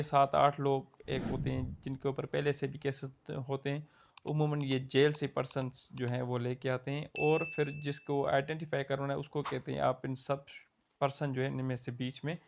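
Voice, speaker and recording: Man with a gravelly voice, teaching law. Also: mid-range voice, flat delivery, normal speed, faint music behind. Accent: Pakistani Urdu. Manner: conversational, checks in with "theek hai". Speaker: law lecturer. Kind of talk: explanatory legal lesson.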